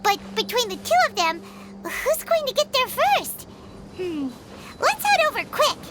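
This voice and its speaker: A woman voicing a small, childlike fairy companion in a video game, chirpy, squeaky, eager and full of questions. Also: big pitch swings, unhurried, heavily acted. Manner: exclamatory, eager, inquisitive. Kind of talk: animated video game voice acting. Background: game music.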